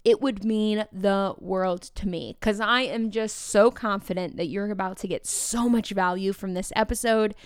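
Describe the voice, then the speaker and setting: A woman hosting a podcast for teachers, talking in an energetic, chatty, enthusiastic way. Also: mid-range voice, moderate pace, few pauses, clean tone, no room sound, studio quality. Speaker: podcast host. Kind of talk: upbeat podcast talk to teachers.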